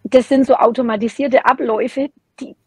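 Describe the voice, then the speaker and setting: A woman's voice: a little high, steady tone, normal speed, darkish tone, slightly rough, no room sound. A middle-aged woman, chatting casually and candidly as a podcast guest. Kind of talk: casual chat. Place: small room at home, indoors.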